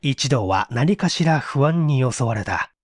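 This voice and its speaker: A man reads mystery fiction as an audiobook narrator. His delivery is theatrical and suspenseful, with lively swings in pitch, and the recording is close and dry. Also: measured pace, no echo. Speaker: audiobook narrator, stage actor. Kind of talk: dramatic scripted mystery narration.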